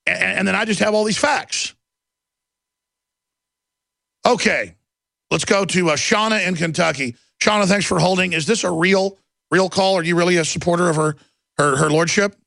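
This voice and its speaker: A high, gravelly male voice with a Texas accent tears into a caller on his talk radio show. He rants in indignant bursts and trips over restarts. The sound is dry and close. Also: brisk, sharp tone, no room sound. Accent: Texan. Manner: ranting, indignant, repetitive restarts. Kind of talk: combative call-in radio talk.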